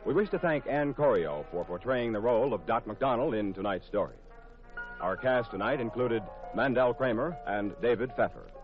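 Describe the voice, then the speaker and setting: A man's voice: mid-range voice, muffled recording. A man announcing scripted credits in a formal 1950s radio style, on an old broadcast recording. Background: music.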